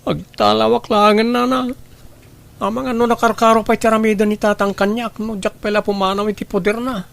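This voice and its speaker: A woman's voice: medium pitch, level tone, brisk, creaky, close to an everyday voice. A woman playing a timid, hard-working youngster in a radio drama, speaking in a deferential, pleading manner. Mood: distressed, pleading. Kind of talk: Ilocano radio drama acting.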